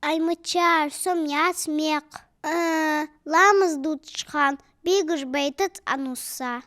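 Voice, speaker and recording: A woman voicing a small, lively, curious little boy in a dubbed cartoon, with an excited, squealing delivery. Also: sits high, a little slow.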